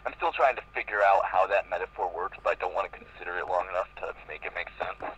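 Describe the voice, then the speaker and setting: Lowish-voiced man reading with faint hum. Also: fast talker, a bit bright, dull audio. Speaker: reader in a group fan fiction reading. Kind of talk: amateur read-aloud with casual asides.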